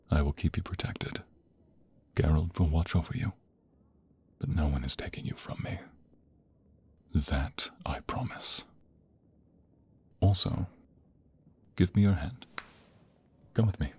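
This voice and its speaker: Male actor as loving king, very low gravelly voice, unhurried, intimate, courtly romantic audio roleplay. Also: exaggerated voice. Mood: tender, devoted. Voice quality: deep, breathy, often whispering. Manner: slow, intimate, formal courtly phrasing. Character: tender, devoted young-hearted king in love, regal.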